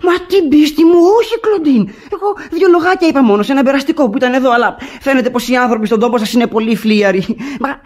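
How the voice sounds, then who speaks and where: An actor playing a simple, eager country suitor, lovestruck and wheedling, in a classic radio theatre comedy. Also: male voice, very high-pitched, few pauses. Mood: defensive. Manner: naive, wheedling.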